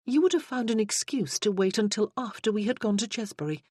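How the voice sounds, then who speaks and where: A woman's voice: medium pitch, animated, a little fast, a little mellow, no room sound, studio quality, pitched above the performer's own voice, close to an everyday voice. A narrator voicing a poised young woman confronting a man who deceived her. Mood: accusing. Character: young heroine, poised and accusing.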